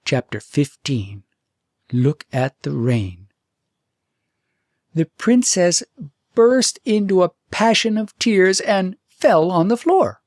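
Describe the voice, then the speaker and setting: A man narrating a fairytale audiobook in an expressive, deliberate storytelling manner. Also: high voice, no room sound, clean studio sound.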